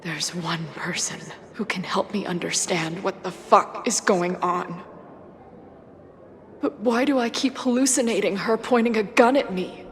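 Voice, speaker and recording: A woman's voice: medium pitch, a little slow, slight background noise, natural voice. An actress playing a troubled, blunt young woman in video game cutscenes. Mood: haunted, confused. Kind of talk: video game cutscene acting, inner monologue.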